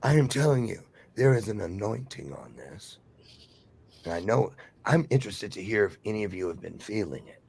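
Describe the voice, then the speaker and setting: A man speaking with effusive, animated enthusiasm on a talk show, eager to keep the floor. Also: lowish voice, normal speed, gravelly, breathy edge. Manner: effusive, animated, talks over others.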